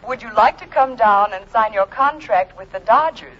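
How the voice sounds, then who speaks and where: An actress playing a businesslike secretary in a 1940s radio drama, her voice husky. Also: a little high, animated, moderate pace, a bit bright. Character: businesslike secretary making a telephone call. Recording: muffled 1940s radio recording.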